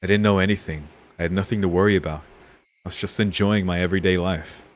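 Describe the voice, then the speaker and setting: A man with a low-pitched voice works through a story game as a let's player. He reads narration aloud and muses on it casually, in a laid-back way. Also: steady tone, fast. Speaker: let's-play streamer. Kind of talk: reading game text aloud with casual commentary.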